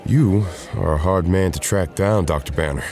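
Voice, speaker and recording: An actor playing a steady, commanding male super-soldier captain in an audio drama, calm and measured. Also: low voice. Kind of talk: amateur audio drama acting.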